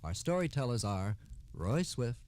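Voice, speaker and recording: A man's voice: mid-range voice, big pitch swings, unhurried, a little mellow. A man hosting a scripted 1960s radio program. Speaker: radio show host.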